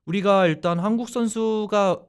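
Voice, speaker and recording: A man hosting a radio sports talk show, explaining at length in a loose, animated conversational way. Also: sits high, moderate pace, smooth.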